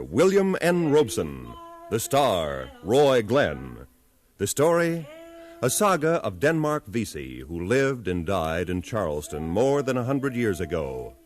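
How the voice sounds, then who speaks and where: Deep-voiced vintage radio announcer man. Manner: measured, dramatic, clipped credit phrases. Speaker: radio drama announcer and narrator. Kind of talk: 1950s radio scripted announcing. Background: music.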